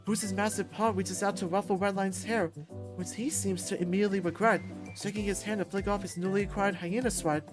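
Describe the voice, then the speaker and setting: A woman reading a visual novel aloud as expressive first-person narration. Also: mid-range voice, brisk. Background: music.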